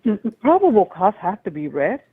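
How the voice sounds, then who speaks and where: A woman calls in over a tinny, thin phone connection, her loud voice pushing through a long, rambling, agitated story. The sound is dry and close, with no room around it. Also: medium pitch, very animated, fairly quick, husky, dry recording. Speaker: caller. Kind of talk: call-in show phone call.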